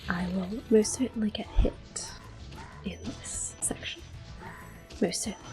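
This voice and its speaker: A woman giving hushed, unhurried ASMR commentary on a video game as she plays it, speaking in soft whispers. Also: medium pitch, music in the background. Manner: hushed, unhurried narration of own play. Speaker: ASMR gameplay host.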